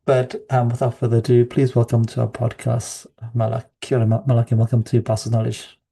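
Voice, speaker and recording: A husky, mellow New man chatting away as host of a community podcast, looping back over his phrases for emphasis. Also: mid-range voice, monotone, a little fast. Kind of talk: casual podcast conversation.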